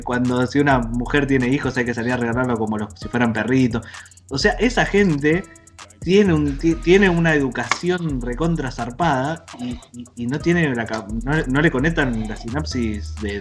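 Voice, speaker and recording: A Rioplatense-accented man hosts a casual podcast, rambling and joking in a mid-pitched voice. Faint music sits in the background. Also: moderate pace. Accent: Rioplatense Spanish. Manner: rambling, joking, lively with frequent laughs. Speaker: podcast host. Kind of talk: casual comedic podcast chat.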